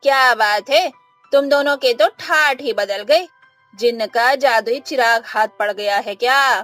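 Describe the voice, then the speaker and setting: Woman playing a high-voiced envious village gossip. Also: measured pace, fairly bright tone. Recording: faint music behind the voice.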